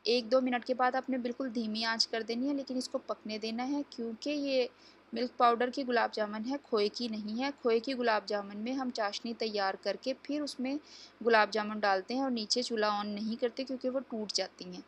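A woman's voice: fairly high pitch, steady tone, fairly quick, breathy edge. A woman hosting a home cooking tutorial, explaining each step to viewers in a chatty, instructive way. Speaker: cooking channel host. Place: home kitchen.

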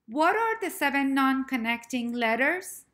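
Woman teacher, slide-lesson narration, unhurried pace, slightly high voice, careful enunciation. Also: sing-song, a bit bright. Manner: slow, careful, clearly spelled-out letter names. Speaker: language teacher.

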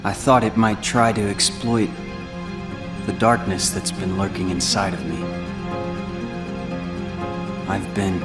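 A man acting a brooding, loyal teenage boy warrior in a video game cutscene, speaking in a measured, earnest way. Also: on the low side. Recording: game music underneath.

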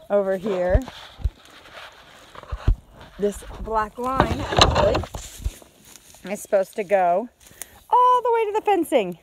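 A woman's voice: medium pitch, slight background noise. Woman chatting casually, thinking aloud outdoors. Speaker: homesteader vlogger. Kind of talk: casual video diary. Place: outdoors on a farm. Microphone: phone held at arm's length.

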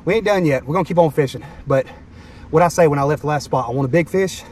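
A young man vlogging while fishing outdoors by the water, excitable and quick to laugh. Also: on the high side, slight background noise. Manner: excitable, shouting and laughing at catches.

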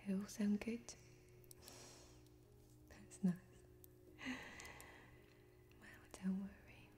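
A young woman playing a friendly, homely fantasy tavern barmaid in soft-spoken, whispery ASMR role-play, close to the microphone. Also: mid-range voice, flat delivery, extremely slow, long pauses. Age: young adult.

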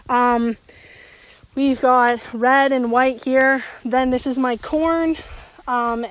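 A woman in her thirties giving a casual, chatty tour of her vegetable garden, with lots of ums, outdoors on a phone held at arm's length. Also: high voice. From Canada. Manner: relaxed and chatty, with many ums. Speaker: homesteader vlogger.

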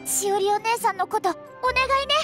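A woman's voice: very high voice, normal speed, over music. An actress voicing a sweet, earnest, worrying young cat-girl courier in an anime-style game, with a cute girlish delivery. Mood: worried, pleading.

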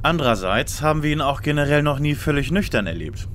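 A man's voice: on the high side, lively intonation, fast talker, a bit bright, a little hiss or hum. A man playing a pompous know-it-all young detective leader in an audio drama parody, with formal wording. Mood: commanding.

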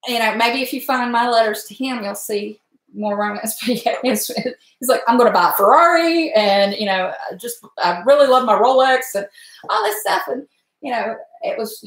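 A chatty woman in her forties with a Blue Ridge Virginia drawl, rambling warmly to her live-stream viewers as she stirs a pot. Her voice sits a little high, and the kitchen around her rings with echo. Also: animated, moderate pace. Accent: Appalachian Virginia. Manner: folksy, rambling, talks to chat viewers. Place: home kitchen at night. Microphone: webcam at stove. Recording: echoey kitchen.

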